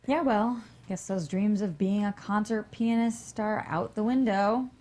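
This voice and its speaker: A woman's voice: medium pitch, a little slow, darkish tone, close to an everyday voice. A woman actor at a screenplay table read playing a cool, tough woman on the run with dry, teasing bluntness. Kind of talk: live table read of a crime comedy screenplay.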